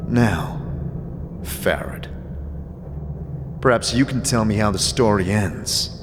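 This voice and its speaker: A man playing a calm, grave wanderer and narrating in a measured, brooding way in a fantasy audio drama. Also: lowish voice. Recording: long reverb, music underneath.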